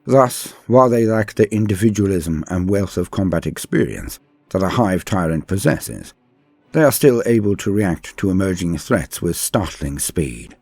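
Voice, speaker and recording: A man's voice: sits low, sing-song, normal speed, vocal fry, darkish tone, no echo. A man narrating scripted lore as a host, in a theatrical, ornate and formal manner. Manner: dramatic, ornate, formal address. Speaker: host and narrator of a guide channel.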